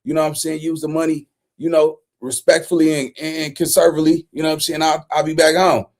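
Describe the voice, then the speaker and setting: Man, high voice, casual streamer commentary, rambling, slangy, animated street talk. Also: quick pace.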